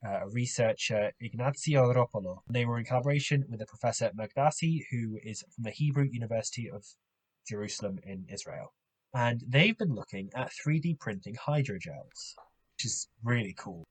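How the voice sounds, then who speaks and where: A man's voice: mid-range voice, slightly rough. A man co-hosting a podcast, chatting casually about science and asking curious questions. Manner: curious, asks questions, laughs easily. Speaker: podcast co-host.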